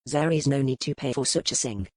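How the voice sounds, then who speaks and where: A man playing a smooth, probing French merchant with a French accent in a historical romance audiobook. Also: medium pitch, brisk, a little mellow, dry recording, natural voice. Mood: insistent, disapproving. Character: suave, probing French merchant in colonial port. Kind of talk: dramatised audiobook dialogue.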